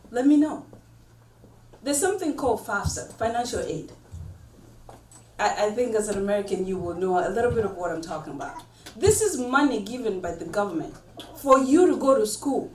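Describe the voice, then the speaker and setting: A woman's voice: mid-range voice, darkish tone. A woman, a nursing school instructor, giving direct, firm advice to graduates at a ceremony. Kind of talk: ceremony speech. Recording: echoey hall from far off, faint noise.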